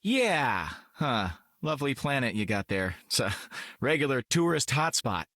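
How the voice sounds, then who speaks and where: An actor playing a cocky, wisecracking young space outlaw in a video game, flippant, slangy and sarcastic. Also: male voice, medium pitch, very animated, a little slow, sharp tone, dry recording, clean studio sound, heavily acted. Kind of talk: video game character lines.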